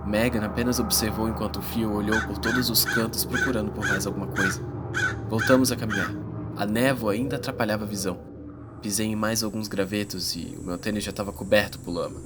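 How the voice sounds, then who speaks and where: A man's voice: lowish voice, steady tone, brisk, loud music behind the voice, lightly acted. A Brazilian man playing a teenage schoolboy who narrates an uneasy fantasy adventure in a dramatized audiobook. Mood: narrating. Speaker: first-person narrator.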